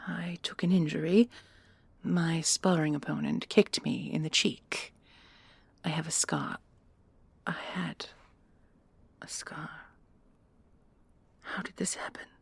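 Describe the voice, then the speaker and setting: Woman voicing a deferential female commandant, audio drama, halting, apologetic, low, very breathy and husky, close dry sound. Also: fairly even intonation, no room sound, in a whisper, heavily acted. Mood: shocked, shaken. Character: shaken, deferential female military commandant under interrogation. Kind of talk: audio drama roleplay acting.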